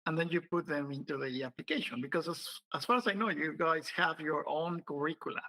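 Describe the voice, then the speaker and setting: A man's voice: on the high side, a little fast, bright, forceful tone, low-quality recording. A man hosting a podcast and interviewing guests, curious and thinking aloud as he asks his questions. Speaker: podcast host.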